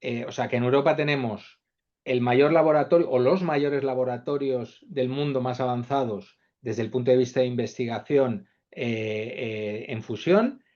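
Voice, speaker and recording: A man's voice: mid-range voice, normal speed, darkish tone, dull audio. A man hosting a webinar interview, summarizing and asking questions hesitantly with many fillers. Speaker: host interviewer.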